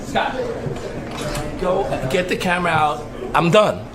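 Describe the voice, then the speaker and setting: A man's voice: high-pitched, animated, takes it slowly, loud music behind the voice. A blunt, defiant man arguing heatedly backstage. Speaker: DJ and sound operator on tour. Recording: echoey room with loud crowd behind.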